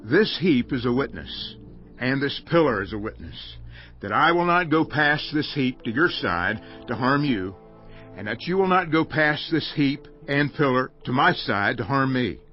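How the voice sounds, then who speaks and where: An actor playing a stern, possessive older father and clan head in a dramatized audio Bible, speaking forcefully and solemnly. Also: mid-range voice, lively intonation, a little slow, close to an everyday voice. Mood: solemn, warning. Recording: dull lo-fi audio with music behind.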